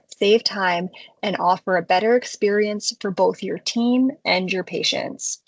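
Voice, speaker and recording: A woman narrating a scripted product tutorial as a software presenter, explaining things clearly in a conversational way. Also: medium pitch, no echo, dull audio.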